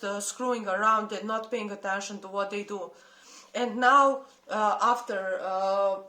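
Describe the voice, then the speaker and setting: A woman from Serbia with a Serbian accent, giving an impassioned but halting unscripted statement. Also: mid-range voice, sharp tone. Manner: impassioned, halting with many hesitations. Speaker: protest commentator. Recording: roomy echo.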